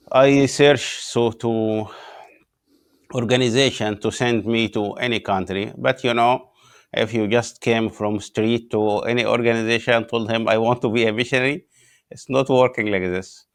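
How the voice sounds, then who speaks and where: Gravelly-voiced Egyptian pastor, halting English. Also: male voice, medium pitch. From Upper Egypt. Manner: halting non-native English with many "uh" pauses. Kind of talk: interview guest telling his story.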